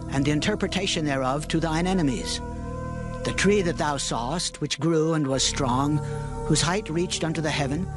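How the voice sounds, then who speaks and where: An actor playing an earnest, respectful prophet counselling his king in a dramatized scripture reading, solemn and measured. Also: on the high side, lightly acted. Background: music.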